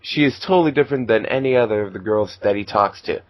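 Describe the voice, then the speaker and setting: A man's voice: lowish voice, fast talker, no room sound, dull audio. A man reading fan fiction aloud as narrator, in a casual amateur style.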